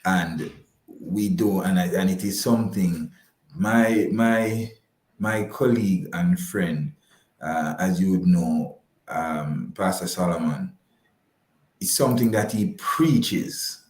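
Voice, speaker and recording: A man's voice: low voice, a little mellow, reverberant. A man speaking as a guest financial advisor in a conversational interview, often repeating words as he forms his points. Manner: halting, repeats words while forming points.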